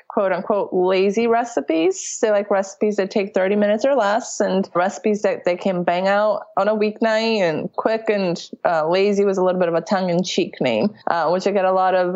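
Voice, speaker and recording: A woman's voice: medium pitch, a little mellow. A woman from Italy, a small business owner, speaking candidly in a casual interview, with many fillers and restarts. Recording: dull lo-fi audio.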